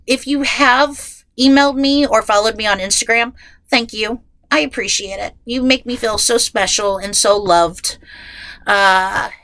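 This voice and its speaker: Female podcast host, highish bright voice, nasal from a head cold, casual and rambling, self-deprecating. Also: no echo, rough recording. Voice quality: nasal, stuffed-up. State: getting over a head cold. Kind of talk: casual, chatty solo podcast. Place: inside a closet at home.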